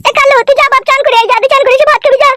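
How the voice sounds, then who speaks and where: An extremely high-pitched, rapid-fire cartoon mother acted by a man pleads and coaxes. She is protective and placating, with clear noise on the recording. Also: fairly even intonation, pushed and bright. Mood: coaxing. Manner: placating, coaxing. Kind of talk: comic cartoon dub.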